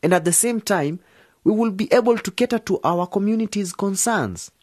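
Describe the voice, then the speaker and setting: An earnest young journalism student. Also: male voice, high-pitched, expressive, moderate pace, dry recording, studio quality. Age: young adult. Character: young male journalism student starting a radio station. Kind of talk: radio drama acting.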